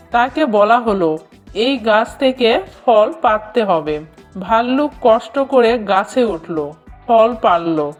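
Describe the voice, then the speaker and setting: A woman narrating a children's cartoon story in a measured, expressive storytelling manner. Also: fairly high pitch. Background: background music.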